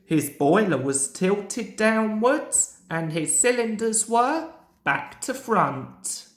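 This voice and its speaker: Very slow-reading woman in an echoey room. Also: mid-range voice, animated. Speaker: story reader. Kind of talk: reading a children's storybook aloud. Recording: roomy, echoing home recording.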